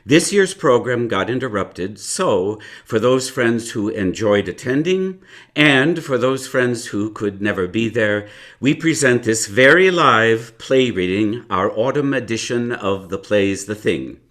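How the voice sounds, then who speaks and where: Mid-pitched man with a very animated delivery. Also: unhurried. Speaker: theatre artistic director. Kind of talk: theatrical announcement.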